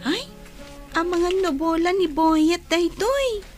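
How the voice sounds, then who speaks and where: Very high, unhurried young child. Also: female voice, over music. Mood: playful. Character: eager, whiny, playful young child. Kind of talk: Ilocano radio drama acting.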